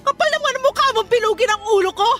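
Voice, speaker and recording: A woman's voice: extremely high pitch, lively intonation, very fast, pushed and bright, faint music behind, exaggerated voice. An actress with a Filipino accent playing a betrayed, furious young woman in a radio drama, scolding with intense anguish. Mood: indignant, scornful. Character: betrayed, furious, heartbroken young woman leaving her cheating boyfriend.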